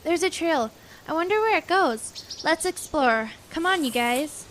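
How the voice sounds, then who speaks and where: A woman's voice: high voice, lively intonation, a little slow, a bit bright, slight background noise. A woman playing a bossy, adventurous young girl camper in an audio drama, speaking emphatically. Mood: excited.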